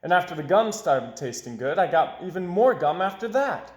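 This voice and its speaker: A man's voice: high-pitched, very animated, moderate pace, pitched above the performer's own voice. Man reading as an aggrieved young boy, echoey room. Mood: earnest, wistful. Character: young boy, dramatic, aggrieved, forever losing his money. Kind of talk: expressive children's storybook read-aloud.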